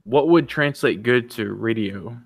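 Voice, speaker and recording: A man's voice: medium pitch, unhurried, no echo. Dry, close-sounding man thinking aloud. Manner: tentative, questioning, repeats words. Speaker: co-writer on a brainstorming stream. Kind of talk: casual brainstorming chat.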